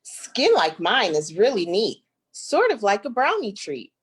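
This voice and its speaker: A woman from Michigan, a guest author, reading a picture book aloud to children in an expressive, drawn-out way. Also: medium pitch, breathy edge. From Flint, Michigan, United States.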